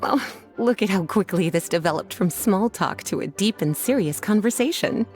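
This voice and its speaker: A woman's voice: medium pitch, lively intonation, moderate pace, darkish tone, lightly acted. A woman voicing an elegant, playfully teasing young scholar in a video game, leisurely and coy, over music. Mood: warm, reflective. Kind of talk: scripted game dialogue acting.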